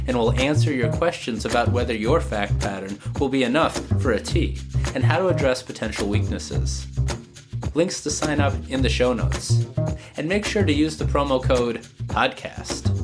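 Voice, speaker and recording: Man with a cold hosting a podcast, slightly nasal. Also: mid-range voice. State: has a cold. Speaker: podcast host; lawyer. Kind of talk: scripted legal news podcast narration with casual asides.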